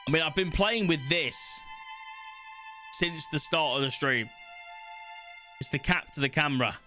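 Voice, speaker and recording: A man's voice: a little high, measured pace, sharp tone, faint music behind. A young man, casually chatting to his stream audience as he reads out questions, blunt and swearing now and then. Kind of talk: casual livestream chat, reading test questions aloud.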